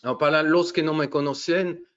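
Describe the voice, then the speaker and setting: A middle-aged man from France with a French accent, presenting a webinar in a halting way with many fillers and restarts. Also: fairly high pitch, steady tone. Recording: dull lo-fi webinar audio.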